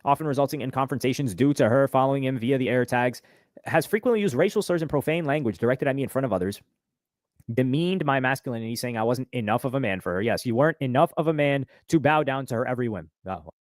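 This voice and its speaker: A man's voice: mid-range voice, expressive, no echo. A man reading a court document aloud and adding casual comments as he goes. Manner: brisk, reads documents quickly then comments casually. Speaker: streamer reacting to court filing.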